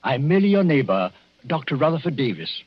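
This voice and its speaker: An actor playing a grave, hesitant English doctor who gives ominous warnings in the formal, theatrical manner of 1940s radio drama. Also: male voice, fairly high pitch, very animated, fast, husky. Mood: hesitant, courteous. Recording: dull 1940s radio recording.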